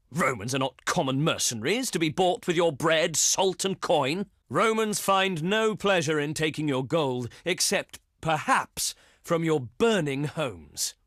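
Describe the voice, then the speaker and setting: An actor playing a haughty, contemptuous ancient Roman diplomat in a strategy game, pompous and sneering, with formal oratorical delivery. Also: male voice, on the high side, a bit bright, no room sound, clean studio sound. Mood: disdainful. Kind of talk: theatrical scripted game voice acting.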